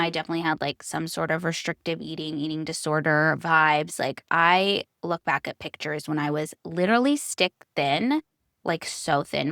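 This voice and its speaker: A woman's voice: sits low, a bit bright, dry recording, studio quality. A woman in her thirties with an American accent, talking candidly and emphatically as a solo podcast host. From United States (California), born in Hungary. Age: 30s. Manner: conversational, emphatic, repeats phrases for stress.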